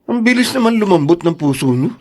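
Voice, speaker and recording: An actor playing a stern, resentful politician son in an audio melodrama, speaking emphatically. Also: male voice, high voice, big pitch swings, fairly quick, a little mellow, slight background noise. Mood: bitter, angry. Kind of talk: melodramatic audio drama acting.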